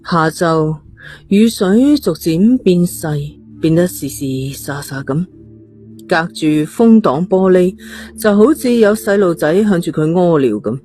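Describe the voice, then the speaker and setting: A man's voice: sits high, animated, takes it slowly, a little mellow, faint music behind. A man with a Hong Kong Cantonese accent narrating a suspense novel in a storytelling style. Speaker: audiobook narrator.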